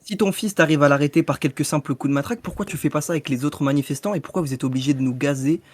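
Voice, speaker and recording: A man's voice: on the high side, very fast, no echo. A man speaking as a protester in a debate, earnest and argumentative as he presses his point.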